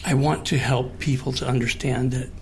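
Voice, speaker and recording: An American man in his late sixties speaking in a halting, thoughtful, earnest way in a personal conversation. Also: medium pitch, steady tone, a little hiss or hum. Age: 60s. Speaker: participant in a recorded one-on-one conversation.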